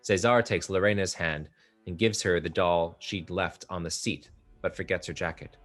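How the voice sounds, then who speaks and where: A man narrating the stage directions of a play in a measured table-read style. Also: low-pitched, steady tone, fairly bright tone, soft background music. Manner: measured, descriptive.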